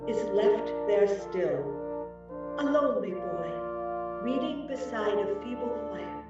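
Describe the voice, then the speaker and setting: A woman's voice: medium pitch, lively intonation, slow pace. A woman playing a solemn, unearthly spirit in a dramatic reading, stately and commanding. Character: solemn, unearthly, childlike yet old female spirit. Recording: very echoey, distant, muffled, music behind.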